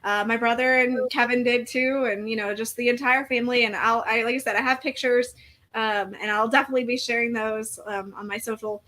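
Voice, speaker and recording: A woman's voice: a little high, steady tone, brisk, fairly bright tone. A woman in her forties, a podcast co-host, telling a story in casual chat with lots of fillers and restarts. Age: 40s. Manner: rambling storytelling with many fillers.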